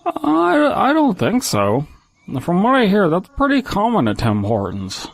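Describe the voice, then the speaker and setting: A man's voice: high-pitched, wildly swooping pitch, unhurried, vocal fry, dry recording, exaggerated voice. A man playing an awkward, self-effacing drifter in an audio comedy skit, speaking hesitantly and modestly. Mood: unsure. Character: awkward, self-effacing drifter who cannot hold a job.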